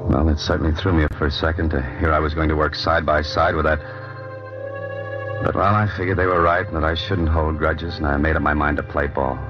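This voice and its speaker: An actor playing a bitter, brooding ex-convict who tells his own story haltingly in an old-time radio drama. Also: rumbling low, little pitch movement. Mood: reflective. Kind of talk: old-time radio drama acting, first-person narration. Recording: muffled vintage radio recording, music underneath.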